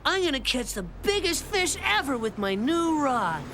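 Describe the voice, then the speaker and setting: A woman's voice: sits high, sing-song, moderate pace, noisy background. A woman voicing an eager, boastful young boy in a cartoon, brash and exclamatory. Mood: excited.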